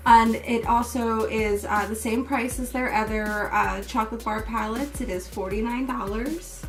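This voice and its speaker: A woman beauty vlogger, slightly hoarse, talking through a makeup tutorial step by step and then giving a casual review with many fillers. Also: a little high, steady tone, unhurried. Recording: distant mic with music playing behind.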